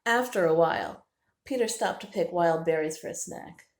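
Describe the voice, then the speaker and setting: A woman reading a children's storybook aloud with expressive, deliberate narration. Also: on the low side. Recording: roomy, echoing indoor sound.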